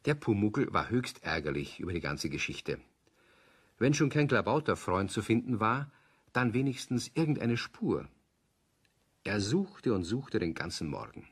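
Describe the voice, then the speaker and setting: A man with a husky voice narrating a children's audio play as a scripted storyteller. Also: fairly low pitch, sing-song, a little slow.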